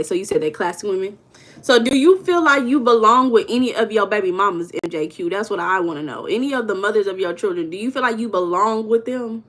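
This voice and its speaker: A woman's voice: medium pitch, a bit bright. Playful slangy American woman hosting a live. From Los Angeles, USA. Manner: playful, slangy, teasing banter with chat. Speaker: livestream host. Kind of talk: casual live chat reading viewer comments.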